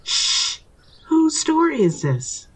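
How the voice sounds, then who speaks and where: A woman's voice: sits high, huge pitch swings, slow pace, voice pushed up, full character voice, rough recording. A woman voicing a prim, theatrical storybook storyteller who gets exasperated when interrupted. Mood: indignant. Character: prim storyteller reading a fairy tale, exasperated.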